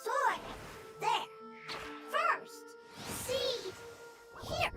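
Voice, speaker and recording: A woman voicing a small, gentle creature in a video game, speaking in halting, broken phrases. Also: extremely high pitch, expressive, over loud music, heavily acted. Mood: pleading.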